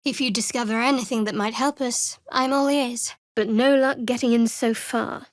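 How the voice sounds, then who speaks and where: A woman voicing a guarded, sardonic young woman cleric in a fantasy video game, with a measured, dry delivery. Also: a little high, fairly even intonation, no room sound, close to an everyday voice. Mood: dry, reflective.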